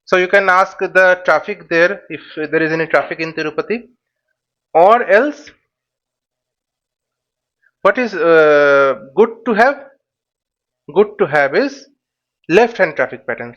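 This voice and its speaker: A man's voice: high-pitched, a bit bright, dull audio. A man giving an informal step-by-step tutorial as an instructor, often posing questions and answering them himself. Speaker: flight simulation instructor.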